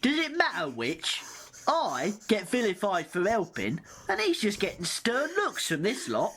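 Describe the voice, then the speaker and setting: A woman's voice: mid-range voice, wildly swooping pitch, unhurried, fairly bright tone. A woman playing an indignant, defensive passenger in an amateur radio play, aggrieved and accusing. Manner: aggrieved, finger-pointing.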